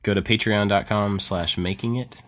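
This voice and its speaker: A man's voice: on the low side, steady tone, moderate pace, a bit bright. A man hosting a podcast in casual chat, enthusiastic and self-deprecating, laughing often as he tells stories. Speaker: podcast host.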